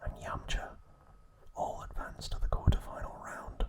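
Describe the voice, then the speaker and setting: Whispering male ASMR narrator. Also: normal speed. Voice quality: breathy unvoiced whisper. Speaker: ASMR channel host.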